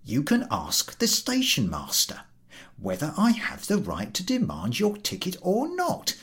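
An over-zealous railway ticket collector played by a male storyteller, pitched high with lively swings. He is officious, aggrieved and easily flustered, whining his way through a storybook tale. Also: unhurried, heavily acted. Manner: whining, officious, stammering when cornered. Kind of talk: dramatised storybook reading.

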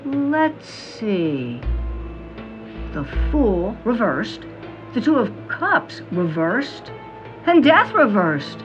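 A woman playing a fortune-teller in an audio drama, reading palms and cards with slow, portentous pauses. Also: on the high side, big pitch swings, heavily acted. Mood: mystical, portentous. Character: theatrical fortune-teller, businesslike about payment. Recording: dull sound with music behind.

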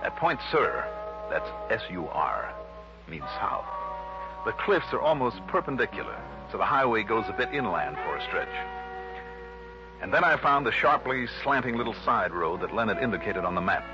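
An actor playing a wry, brisk American insurance investigator in a crisp, dramatic 1960s radio drama style. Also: male voice, on the low side, very animated. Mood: wry narrating. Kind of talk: 1960s radio drama, first-person hard-boiled narration. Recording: dull lo-fi old radio recording, music behind.

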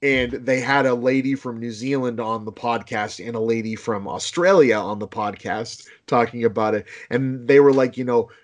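A man from Boston with a Boston accent, chatting casually in a rambling, animated way with many restarts and casual swearing. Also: mid-range voice, normal speed, hardly any pauses. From Boston, United States. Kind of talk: casual chat.

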